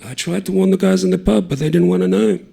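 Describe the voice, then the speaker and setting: Male storyteller, husky and gravelly, lively delivery. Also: high-pitched, fast talker. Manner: lively, acts out the dialogue, shouting some lines and dropping to a hush on others. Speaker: reader of a short story. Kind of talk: dramatic storytelling with character voices.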